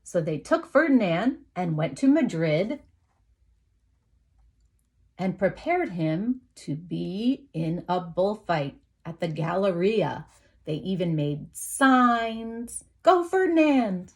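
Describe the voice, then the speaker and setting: A woman teacher reading a picture book aloud to young children, expressively, with dramatic emphasis, pauses and asides to her listeners. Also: fairly low pitch, big pitch swings, a little mellow.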